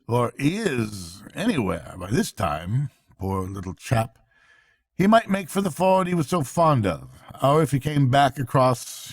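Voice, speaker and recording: A worried water rat from a bedtime storybook, acted out by a man. The voice is lifted high and confides gravely and earnestly, like a kind friend sharing troubles. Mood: grave, sympathetic. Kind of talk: bedtime storybook character reading.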